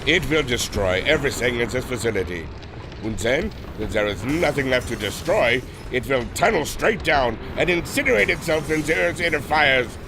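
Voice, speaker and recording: A man's voice: medium pitch, animated, normal speed, vocal fry, music in the background. A man playing an earnest, remorseful inventor, formal and dramatic, in a pulp adventure drama. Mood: anguished resolve. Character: earnest, remorseful German inventor seeking redemption.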